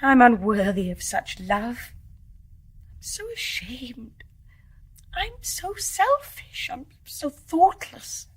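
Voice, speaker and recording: An actress playing a young, guilt-ridden English wife in a radio drama, genteel and restrained in Received Pronunciation, tender and gently teasing but emotionally charged. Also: female voice, fairly high pitch, sing-song, slow, breathy edge, exaggerated voice. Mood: anguished. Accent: British Received Pronunciation.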